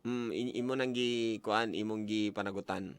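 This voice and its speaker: A man's voice: fairly low pitch, steady tone, normal speed. A man with a Cebuano accent hosting a casual radio call-in, chatting in a playful, teasing, informal way. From Philippines. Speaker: radio host. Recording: music playing under the voice. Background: background music.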